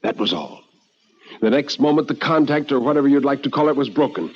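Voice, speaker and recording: A man narrating a first-person story in a theatrical, measured and intense old radio drama style. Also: medium pitch, lively intonation, slight background noise. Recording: dull old radio recording with music.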